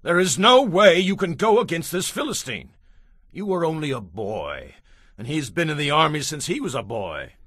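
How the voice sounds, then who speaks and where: Gruff ancient monarch, commanding and emphatic, very animated pitch, scripture drama. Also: male voice, moderate pace, no echo, clean studio sound, full character voice. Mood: dismissive. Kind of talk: dramatized audio Bible acting.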